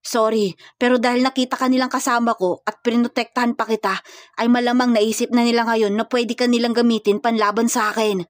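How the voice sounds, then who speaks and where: A woman's voice: a little high, flat delivery, brisk, no room sound, studio quality, close to an everyday voice. A woman voicing a serious, protective young male hired killer in a dramatized romance story, firm and commanding. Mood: grave, explaining.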